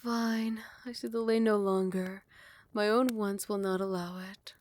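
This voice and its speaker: A woman playing a possessive yet tender royal vampire in love, speaking in formal, old-fashioned phrasing with breathy half-whispers in an intimate ASMR roleplay. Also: mid-range voice, flat delivery, measured pace, a little mellow, lightly acted. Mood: tender, pleading.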